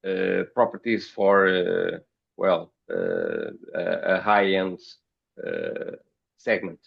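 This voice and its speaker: Halting Portuguese man with a creaky low voice. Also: animated, muffled recording. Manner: halting, hesitant, repeats words as he goes. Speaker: podcast guest, company founder. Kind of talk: interview answers.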